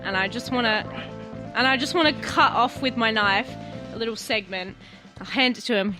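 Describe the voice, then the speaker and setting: A woman with a fairly high voice takes part in a tabletop roleplaying game. She talks casually as a player, with music clearly playing behind her. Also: moderate pace. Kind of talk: casual chat at an actual play game.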